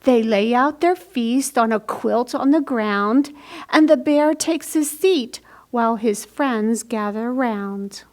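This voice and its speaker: A woman's voice: fairly high pitch, slow pace. A woman hosting a children's storytime, speaking simply and carefully as she reads and explains. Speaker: library storytime host. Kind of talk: children's picture-book reading and craft instruction.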